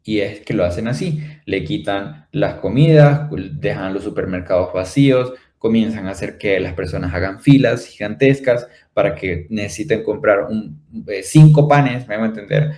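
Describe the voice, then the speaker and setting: A man's voice: fairly low pitch, normal speed, hardly any pauses, darkish tone. A man with a Venezuelan accent giving an earnest, explanatory talk, often posing questions to his listeners. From Maracaibo, Venezuela. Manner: rhetorical questions with repeated tag phrases. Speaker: speaker on a spiritual talk show.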